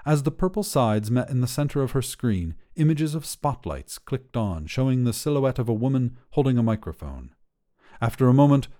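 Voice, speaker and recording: Give a mellow male storyteller reading scripted fiction with measured care. His pitch moves around freely, and the tone stays soft-edged and dark. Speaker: podcast narrator. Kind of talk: scripted short fiction narration.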